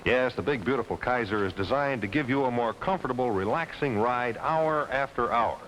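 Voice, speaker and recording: A man's voice: medium pitch, normal speed, fairly bright tone. A man delivering a folksy, chatty 1950s television car commercial as its announcer. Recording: noisy old 1950s television soundtrack.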